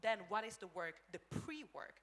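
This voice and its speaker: A woman's voice: medium pitch, sing-song, normal speed, fairly bright tone. Woman, panel moderator, choreographer, searching, list-building phrasing. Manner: thoughtful, searching with fillers and repeated lists. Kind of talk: panel discussion.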